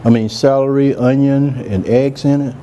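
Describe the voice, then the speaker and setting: A soft-spoken man, chatting relaxed as he hosts a cooking demonstration in a large room. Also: mid-range voice, normal speed, a little hiss or hum. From Georgia, US. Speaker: cooking show host, chef. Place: large room with a live audience.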